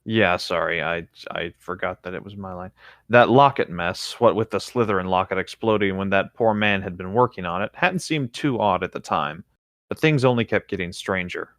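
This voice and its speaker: A man's voice: low-pitched, moderate pace, fairly bright tone, no room sound, studio quality. A man co-hosting a read-aloud, moving between narrating the text and chatting casually. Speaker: co-host reading fan fiction aloud.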